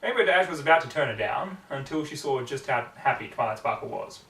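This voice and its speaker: A man's voice: medium pitch, expressive, fairly quick, fairly bright tone, far from the mic. A man reading a story aloud as narrator in a hammy, theatrical style. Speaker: amateur story reader. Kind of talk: hammy fan fiction narration. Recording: echoey room, off the mic.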